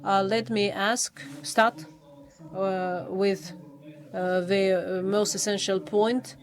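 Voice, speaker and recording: Halting man giving a review. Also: high voice, little pitch movement. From Poland. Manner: halting, many hesitations and restarts. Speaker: official reviewer at a thesis defense. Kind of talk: formal academic review in English.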